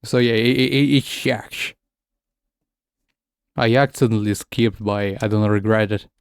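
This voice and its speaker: A man's voice: fairly low pitch, steady tone, measured pace, creaky, smooth, dry recording, studio quality. A man whose first language is Russian, chatting casually while streaming a game, often stumbling and repeating words. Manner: switches between English and Russian. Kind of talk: casual gaming stream chat.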